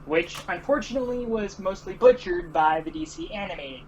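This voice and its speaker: A man's voice: sits high, lively intonation, brisk, dull audio, slight background noise. A man co-hosting in casual, opinionated chat. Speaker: co-host.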